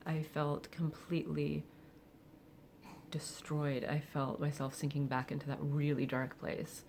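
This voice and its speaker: A woman of forty speaking candidly and reflectively in a personal vlog, with soft laughs and hesitant fillers. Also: low voice, flat delivery, measured pace, gravelly, a little hiss or hum. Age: 40s. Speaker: vlogger. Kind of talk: personal confessional monologue.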